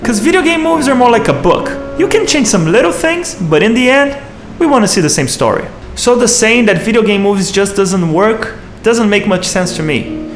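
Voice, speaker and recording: Man, high pitch, video essay host, narration over music. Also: expressive, moderate pace, hardly any pauses.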